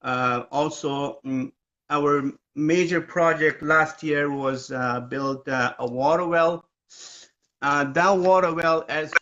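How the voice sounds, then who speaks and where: Hesitant man with a bright, dull-sounding voice. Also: a little high. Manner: hesitant, many "uh" fillers, slow. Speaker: aid agency founder, live stream host. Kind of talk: casual live stream chat. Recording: dull lo-fi live stream audio.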